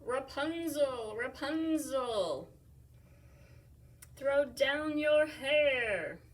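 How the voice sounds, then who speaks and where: Woman putting on a young prince, lovestruck, storybook, loud drawn-out calls, high-pitched, heard from across the room. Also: lively intonation, distant mic, heavily acted. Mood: calling upward. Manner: loud, slow, drawn-out calling. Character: young male prince, lovestruck, shouting up a tower.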